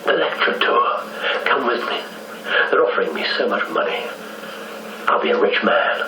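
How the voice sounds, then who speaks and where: An actor playing a desperate, dejected archaeologist in a radio drama, halting and emotional. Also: male voice, on the low side, very animated, bright, forceful tone, slight background noise. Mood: desperate, pleading. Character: desperate, dejected English archaeologist pleading in love. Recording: echoey, distant off-air radio copy, music behind.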